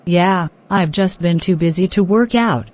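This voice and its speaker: A woman playing a polite, eager young woman in a scripted cartoon dialogue for English learners, asking and answering in a deliberate way. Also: on the low side, a little mellow. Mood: friendly, casual.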